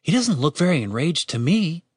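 An earnest young adventurer played by a male narrator in a fantasy audiobook. His voice is lifted high, questioning and polite with an exasperated edge, in a dry, close recording. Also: very animated, moderate pace, slightly rough, no echo, exaggerated voice. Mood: incredulous.

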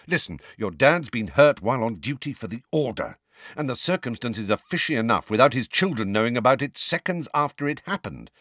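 A man's voice: medium pitch, sing-song, fairly quick, fairly bright tone, no room sound, voice pushed up. A man voicing a tense, impatient grown man in an audiobook, close to his own voice. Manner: clipped, impatient.

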